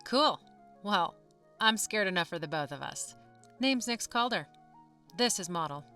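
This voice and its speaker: A woman playing a sharp-tongued, teasing teenage girl student in a fantasy audio drama. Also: medium pitch, unhurried, distant mic, soft background music. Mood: breezy. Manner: wry, teasing, deadpan humor.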